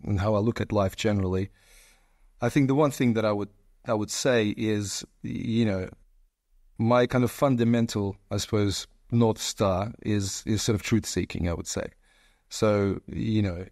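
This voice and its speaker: A man's voice: fairly low pitch, darkish tone, no echo, studio quality. A man in his forties with an Australian accent, chatting as an interview guest, long-winded with many restarts. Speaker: guest, investor. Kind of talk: casual interview chat.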